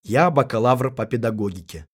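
Husky man reading translations aloud. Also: mid-range voice, normal speed. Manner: gives each phrase as a careful spoken translation. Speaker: translation reader. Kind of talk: scripted language-lesson reading.

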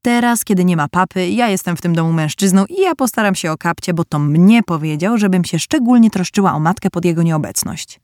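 A headstrong teenage tomboy, brisk and firm, rallying her sisters with energy. A woman narrator plays her with a somewhat low, mellow tone, recorded dry and close. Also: animated, no echo, natural voice. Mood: determined. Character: tomboyish bold teenage girl, the family's leader.

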